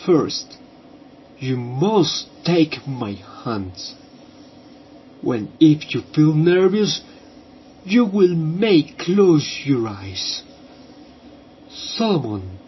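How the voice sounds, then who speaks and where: A man playing a theatrical esoteric medium in a scripted role-play, with solemn, incantatory, halting delivery. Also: on the high side, lo-fi sound, full character voice. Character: theatrical male esoteric medium summoning spirits. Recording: clearly noisy amateur recording.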